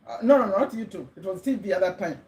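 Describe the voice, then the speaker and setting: A woman's voice: medium pitch, lively intonation, fast, darkish tone. A middle-aged woman from Uganda with a Ugandan accent, hosting a casual motivational livestream on a webcam, chatty and repeating words for emphasis as she checks in with viewers. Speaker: livestream host. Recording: echoey room.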